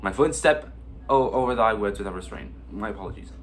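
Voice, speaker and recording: Male actor as earnest Elizabethan-style therapist, lowish voice, formal and beseeching, slightly noisy recording. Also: expressive, brisk, lightly acted. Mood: conciliatory. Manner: formal, beseeching, archaic diction. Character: concerned, pleading therapist in a Shakespearean world. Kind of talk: theatrical mock-Shakespearean dialogue.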